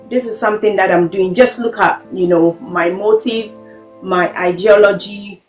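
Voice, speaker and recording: A woman hosting an interview, speaking effusively and filling pauses with "you know". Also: medium pitch, roomy sound, rough recording. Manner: effusive, warm praise, fillers like "you know". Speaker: host. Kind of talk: podcast interview host.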